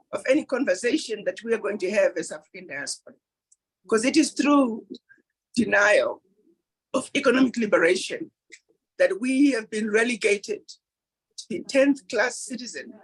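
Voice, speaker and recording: A woman addresses a meeting in a breathy, husky voice. She speaks emphatically and repeats her key points to drive them home. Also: a little high, low-quality recording. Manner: acts out quoted dialogue. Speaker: speaker at a diaspora planning meeting. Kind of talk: public address.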